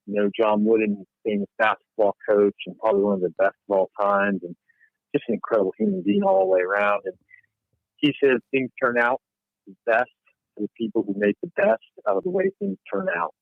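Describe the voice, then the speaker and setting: A man's voice: medium pitch, expressive, fairly quick, gravelly, dry recording. A man calling in over the telephone, talking conversationally as a guest sharing motivational thoughts. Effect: telephone line. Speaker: caller, financial planner. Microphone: phone call into a livestream. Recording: narrow, tinny phone line.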